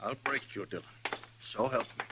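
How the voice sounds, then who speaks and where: An actor playing an arrogant, blustering rich frontier schemer in a 1950s radio drama. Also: male voice, mid-range voice, moderate pace, close to an everyday voice. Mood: threatening. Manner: blustering, then pleading. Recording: old radio broadcast with faint hiss.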